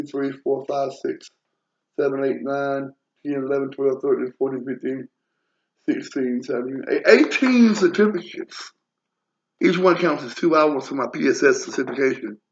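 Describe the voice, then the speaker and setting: A man's voice: mid-range voice, moderate pace, a little hiss or hum. A man in his forties talking bluntly and profanely in a rambling personal monologue, drifting into asides. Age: 40s. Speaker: vlogger. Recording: lo-fi muffled audio.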